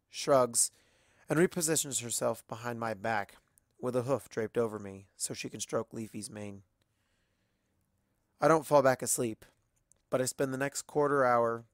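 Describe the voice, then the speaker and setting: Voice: medium pitch, no room sound, clean studio sound. An amateur narrator reading a story expressively from a script.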